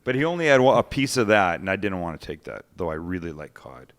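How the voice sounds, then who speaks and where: A man's voice: sits low, big pitch swings, fast talker. A man hosting a live cooking show, chatting casually, joking and swearing now and then.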